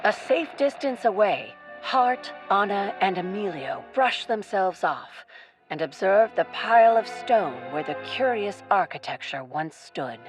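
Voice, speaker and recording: A woman's voice: medium pitch, very animated, a little slow. A woman narrating a scripted radio adventure play in a dramatic, descriptive storytelling manner. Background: music.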